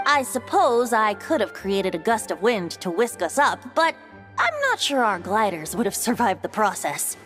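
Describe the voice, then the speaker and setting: A woman's voice: mid-range voice, very animated, normal speed, fairly bright tone. A woman playing an easygoing, cheeky young adventurer in a video game, with a light, joking manner. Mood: breezy, cheeky. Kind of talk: video game cutscene acting. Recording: music behind the voice.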